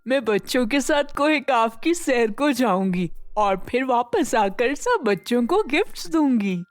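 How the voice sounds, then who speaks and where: A woman's voice: on the high side, very animated, unhurried, no room sound, soft background music, voice pushed down. A woman voicing a kind, regal queen of the fairies in a gracious, reassuring manner for a storybook tale.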